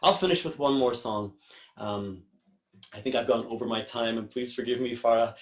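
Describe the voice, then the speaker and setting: A man, a singer-songwriter, chatting warmly and apologetically to his audience between songs. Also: mid-range voice, normal speed. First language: English. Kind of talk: live concert talk between songs. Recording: roomy with guitar music.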